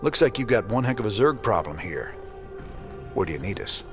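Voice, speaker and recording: A man's voice: on the low side, very animated, a little fast, faint music behind. An actor playing a weary, laconic male rebel commander with a dry, laid-back drawl.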